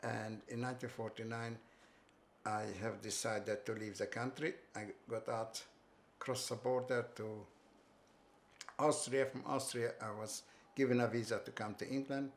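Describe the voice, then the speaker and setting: Older Hungarian-accented man, halting speech. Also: medium pitch, steady tone, a little hiss or hum. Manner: halting, restarts and repeats phrases. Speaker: interviewee. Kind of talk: recounting life story. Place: bright living room, seated on sofa.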